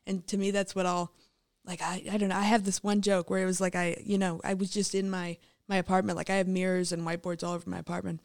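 A woman stand-up comedian from the American South chatting on a podcast, talking fast and filling her sentences with "like". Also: lowish voice, little pitch movement, no room sound, studio quality. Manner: chatty, quick, lots of "like" fillers. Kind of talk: casual podcast chat.

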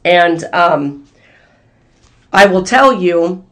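A hoarse channel host, voice worn thin, delivers a prophetic word in an easy, conversational way. The talk stays earnest and speaks directly to the viewers. The pitch sits in a middle range. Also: expressive, drawn-out words, fairly bright tone. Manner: earnest, talks straight to viewers as "you guys". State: hoarse, losing voice. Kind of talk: casual spoken prophecy to viewers.

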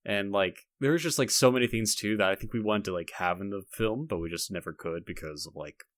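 A young man with a fairly low voice talks casually on a podcast. He rambles a little, restarting and leaning on "like". The sound is dry, with no room on it. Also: a little fast, dry recording. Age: young adult. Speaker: podcast co-host.

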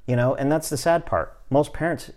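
A man in his fifties hosting a podcast, talking in a relaxed, motivational way and often checking in with "right?". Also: fairly high pitch, moderate pace. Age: 50s. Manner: conversational, repeats "right?" often, restarts phrases. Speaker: podcast host. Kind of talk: casual motivational chat.

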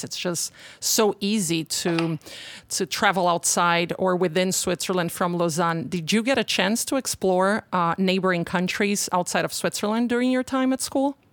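A woman hosting a podcast interview, reading a polished intro and asking questions in a friendly, smooth manner. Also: lowish voice, dry recording. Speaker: podcast host.

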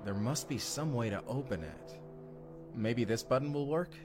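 A man's voice: mid-range voice, sing-song, moderate pace, darkish tone, faint music behind, natural voice. A man playing an urgent, determined time traveler in an audio roleplay drama, earnest and intense. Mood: tense, searching. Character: urgent, determined male time traveler, protective of his partner.

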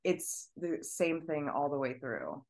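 A woman's voice: low-pitched, very animated, slow pace, vocal fry, a little mellow. A woman dancer in a podcast conversation who speaks earnestly and searches for her words.

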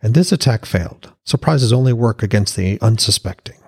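A man's voice: lowish voice, animated, fairly quick, a little mellow, husky, pitched above the performer's own voice. A man voicing an earnest, argumentative Norse god prince who reasons insistently, in a fantasy audiobook.

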